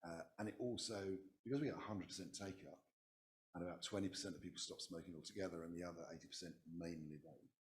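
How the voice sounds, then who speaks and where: A man on a conference panel, recovering from a virus, speaking in a halting way and often repeating words. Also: low-pitched, fairly even intonation, slight background noise. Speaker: public health specialist on a panel.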